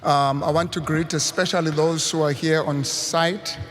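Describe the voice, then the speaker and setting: A man with a South African accent preaches formally and deliberately, in phrases left for an interpreter. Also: a little high, steady tone, fairly bright tone, slight background noise. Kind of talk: sermon delivered in phrases for an interpreter.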